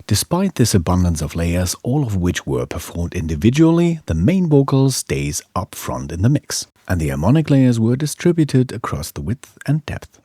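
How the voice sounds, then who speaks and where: Low-voiced man narrating an audio tutorial. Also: sing-song, moderate pace, few pauses, mellow, soft background music. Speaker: audio engineering instructor.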